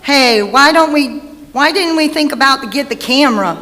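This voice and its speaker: Lady doing cocky little boy, high sharp voice, old-time radio show, echoey hall. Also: normal speed. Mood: excited. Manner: cocky, excitable. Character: brash, mischievous young boy of the family. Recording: echoey hall stage recording, faint noise.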